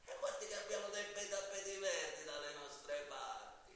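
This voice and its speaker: A man's voice: high-pitched, normal speed. An actor playing a jailed mafia killer in a theatrical stage monologue, sly, mocking and menacing as he wheedles a judge, moving between murmurs and outbursts. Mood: mocking, tempting. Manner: mocking, insinuating, swinging from hushed murmurs to loud outbursts. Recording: very echoey, distant, hissy live stage recording.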